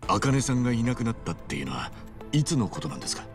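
An actor voicing an earnest, friendly man of about forty with a blunt, casual, rough-edged way of speaking in a video game cutscene. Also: mid-range voice, very animated, quick pace, faint music behind. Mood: serious.

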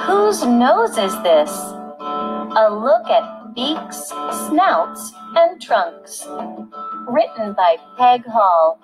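A woman's voice: on the high side, sing-song, very slow, low-quality recording. A woman reading a children's picture book as a scripted audiobook narrator. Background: plucked guitar music.

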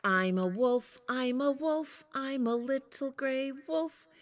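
Little boy wolf cub for a picture book read-aloud, high and eager, childlike, voiced by a woman. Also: lively intonation, slow pace. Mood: cheerful.

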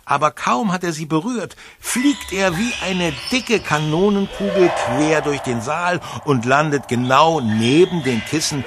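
A man's voice: a little high, expressive, measured pace, few pauses, background noise. A man narrating a scripted children's radio play as storyteller.

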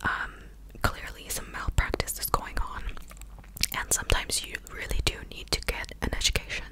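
A young adult woman hosting an ASMR video, talking casually in a soft whisper close to the microphone. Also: moderate pace, low-quality recording. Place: small living room, indoors. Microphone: large desktop microphone, very close.

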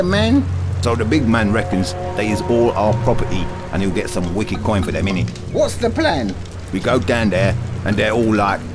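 Cocky goblin thug, London street slang, wildly swinging pitch, game music behind. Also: male voice. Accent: London street slang, British. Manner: slangy, swaggering. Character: cocky thuggish male goblin slaver.

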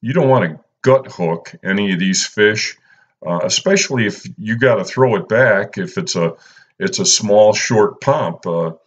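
A man, talking casually and unhurriedly through a fishing how-to as a channel host, filling pauses with "uh" and "you know". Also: fairly low pitch, animated, dull audio. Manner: laid-back, lots of "uh" and "you know". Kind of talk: casual instructional voice-over.

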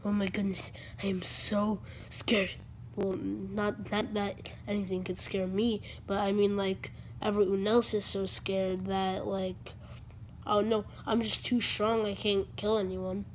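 A woman's voice: mid-range voice, flat delivery, unhurried, a little hiss or hum, lightly acted, rough recording. A woman voicing a vain, boastful toy character in a toy skit, self-important and defensive. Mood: scared but boastful.

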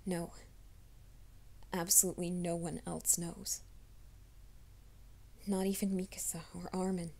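A woman voicing a troubled young man who hides a werewolf nature, in a soft, hushed ASMR roleplay, often whispering. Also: lowish voice, flat delivery, a little slow, creaky, long pauses. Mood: anxious whisper. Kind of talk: fan audio drama, ASMR roleplay.

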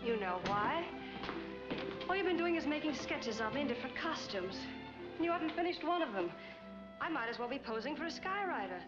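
An actress playing a cool, flippant young gold-digging model in a 1940s film, with a wry, offhand manner. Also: female voice, high voice, expressive, brisk. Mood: impatient, dismissive. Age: young adult. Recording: dull 1940s film soundtrack with music.

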